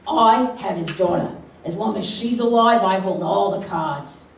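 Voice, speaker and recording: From a distance in an echoey hall, a man plays a villainous henchman in a comic radio play. He is smug and gloating, with a high voice. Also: measured pace, creaky, a little hiss or hum.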